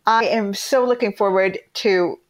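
A woman's voice: mid-range voice, unhurried. A woman hosting a podcast in casual conversation, enthusiastic and self-deprecating. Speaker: podcast host.

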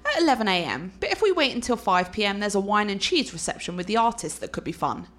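A woman's voice: mid-range voice, very animated, quick pace, a bit bright. A woman playing a cultured, confident art scholar and teasing fiancée in a radio play, articulate and playful. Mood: enthusiastic.

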